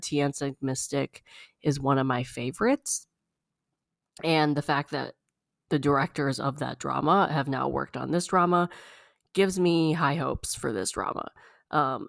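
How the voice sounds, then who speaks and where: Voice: mid-range voice, gravelly, no room sound, clean studio sound. A podcast host talking casually about upcoming dramas, rambling and chatty, sounding slightly congested. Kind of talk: casual solo podcast chat.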